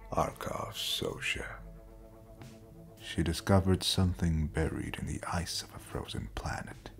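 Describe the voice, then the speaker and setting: An ancient, coldly resolute space captain speaks in an extremely low, breathy voice. He is brooding and homesick, and every line comes slowly and solemnly, as audio drama over clear background music. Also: full character voice. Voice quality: gravelly, breathy near-whisper. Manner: slow, solemn, deliberate storytelling. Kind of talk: scripted audio roleplay drama.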